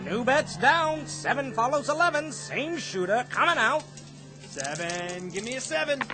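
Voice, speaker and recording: An excitable casino table caller in a radio play. He barks sing-song patter very high and with lively swoops, over music. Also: unhurried, exaggerated voice. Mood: excited. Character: brash, excitable casino craps dealer calling the table. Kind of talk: radio drama acting. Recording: radio drama scene with music underneath.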